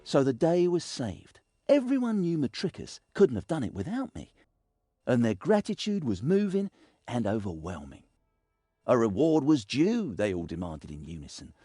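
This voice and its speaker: A man's voice: mid-range voice, huge pitch swings, normal speed, dark tone, husky, no room sound, clean studio sound. A man voicing a boastful, sneaky little thief in a fantasy video game, theatrical and full of mock innocence. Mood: smug storytelling.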